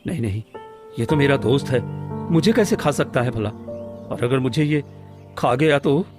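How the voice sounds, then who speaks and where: A man playing a gentle, anxious village woodcutter in a cartoon story, speaking earnestly and hesitantly. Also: medium pitch, moderate pace, close to an everyday voice. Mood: nervous. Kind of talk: scripted Hindi cartoon story dialogue. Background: background music.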